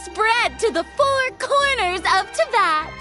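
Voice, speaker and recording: A woman's voice: very high voice, lively intonation, full character voice. A woman playing a vain, flamboyant and commanding film director in a video game. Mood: boastful. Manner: theatrical, brisk and commanding. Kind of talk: English video game voice acting. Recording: music underneath.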